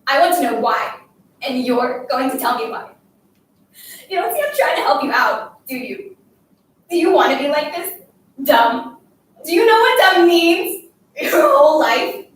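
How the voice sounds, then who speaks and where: A woman plays a bullying schoolgirl in a dramatic story, scolding and demanding with desperate, scornful force. The voice is high. It sounds distant from the mic in a very echoey room. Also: lively intonation, measured pace, long pauses, fairly bright tone, slight background noise. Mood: angry, scornful. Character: bullying schoolgirl, taunting, desperate, commanding a silent classmate. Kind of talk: dramatic literary reading.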